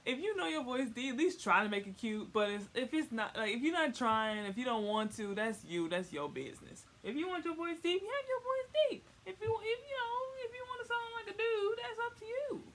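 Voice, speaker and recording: A woman's voice: sits high, big pitch swings, fairly quick, hardly any pauses, a bit bright. A young woman giving a casual comic explainer in informal slang, rambling and repeating words for emphasis. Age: young adult. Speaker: vlogger. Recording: faint noise, home recording.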